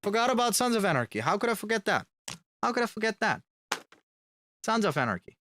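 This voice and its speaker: A man's voice: high-pitched, sing-song, fast, pushed and bright, slight background noise. A man chatting casually on a live stream, reading fan questions aloud and answering them, repeating words for emphasis. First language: Finnish. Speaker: band member streaming to fans.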